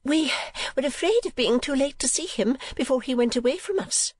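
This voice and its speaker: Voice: high voice, animated, normal speed, dry recording, studio quality, pitched above the performer's own voice. A performer voicing a fond, fretful middle-aged mother from a Victorian novel, sentimental and plaintive.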